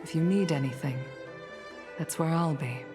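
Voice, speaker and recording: A woman's voice: low-pitched, moderate pace, music in the background, lightly acted. A woman voicing a dignified, mature commander in a video game, speaking in a formal, grave and restrained way. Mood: formal, grateful.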